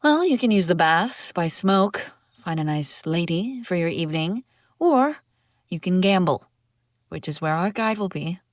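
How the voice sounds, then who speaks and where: A woman's voice: fairly low pitch, expressive, measured pace, no echo. A woman playing a haughty, wry young princess on the run in an acted fantasy audio roleplay, bantering with exasperated sarcasm. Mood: conspiratorial, explaining. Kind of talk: acted audio roleplay, one-sided dialogue.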